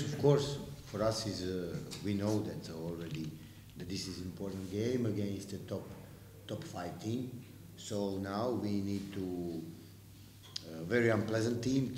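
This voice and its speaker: Low-voiced hesitant coach. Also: male voice, a little mellow, a little hiss or hum. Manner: hesitant, measured, many "uh" pauses. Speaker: home basketball coach. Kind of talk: post-game press conference answers. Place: press room with sponsor backdrop. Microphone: table mic. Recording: echoey room.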